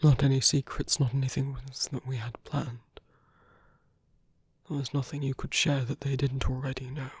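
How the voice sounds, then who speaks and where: A performer voicing a seductive, protective villain in an intimate romantic ASMR roleplay, gently coaxing in a halting, husky voice that often drops to a whisper. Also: mid-range voice, flat delivery, no echo. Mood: concerned, indignant. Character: seductive villain, protective, tenderly persuading an abandoned hero.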